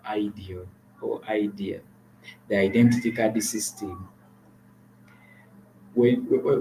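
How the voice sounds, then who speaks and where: A man's voice: lowish voice, mellow, a little hiss or hum, rough recording. A man from Uganda co-hosting a talk show, giving earnest political commentary and often repeating words as he restarts.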